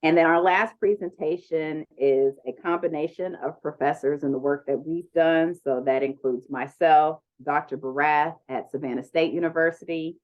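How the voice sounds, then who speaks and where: A woman with a deep voice introduces speakers on an online conference panel. She talks in a loose, chatty way, full of ums. The audio is dull and lo-fi, as if it came through a video call. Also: moderate pace, hardly any pauses. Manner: relaxed, chatty, with many ums. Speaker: panel moderator.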